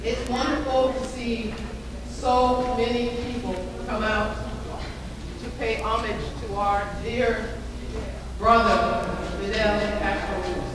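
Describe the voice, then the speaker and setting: A woman with a fairly high voice hosts a memorial tribute as emcee. She speaks in earnest, formal oratory with halting pauses. The live hall recording is reverberant, echoey and noisy. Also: fairly even intonation. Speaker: master of ceremonies, co-moderator. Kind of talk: public speech at a memorial tribute. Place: large packed hall at a live event.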